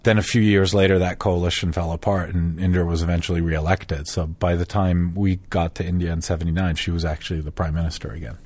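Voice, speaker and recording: A man's voice: deep voice, level tone, fast, few pauses, a little mellow. A man from Switzerland, a sociologist guest, giving thoughtful, explanatory answers in an interview.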